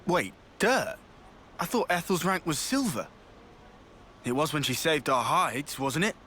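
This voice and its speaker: A man's voice: fairly high pitch, big pitch swings, unhurried, sharp tone, a little hiss or hum, heavily acted. A man voicing an eager, questioning young soldier in a video game. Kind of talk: video game cutscene dialogue.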